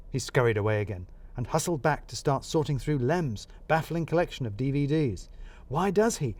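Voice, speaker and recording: A man narrating a scripted comic mystery in the first person, wry and expressive. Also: mid-range voice. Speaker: narrator of a serial mystery story.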